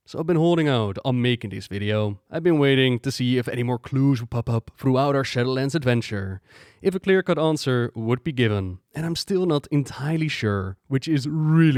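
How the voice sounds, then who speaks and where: A man's voice: medium pitch, a little mellow, dry recording, studio quality. A man hosting gaming lore commentary in an enthusiastic, emphatic way. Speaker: video host.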